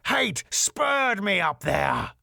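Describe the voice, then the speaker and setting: A man's voice: high-pitched, sing-song, extremely slow, vocal fry, dry recording, studio quality, pitched above the performer's own voice, full character voice. A man voicing a raging, pompous middle-aged ballroom owner who rants in theatrical tirades. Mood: grimly slow. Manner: furious, theatrical, shouting tirades.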